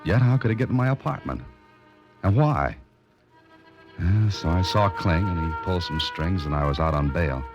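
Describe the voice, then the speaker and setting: Tough wry american sleuth, old radio mystery, deep voice, music on the recording. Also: male voice, moderate pace, muffled recording. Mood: wry narration. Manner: clipped, wry, hard-boiled. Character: tough wry male amateur sleuth, narrating his own case. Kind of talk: 1940s radio mystery acting and first-person narration.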